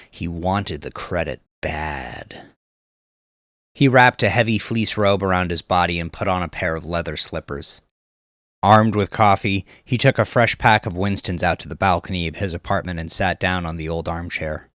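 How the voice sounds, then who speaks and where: Very deep-voiced male crime narrator. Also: measured pace, a bit bright, no echo, studio quality. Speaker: audiobook narrator. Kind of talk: scripted narration of crime fiction.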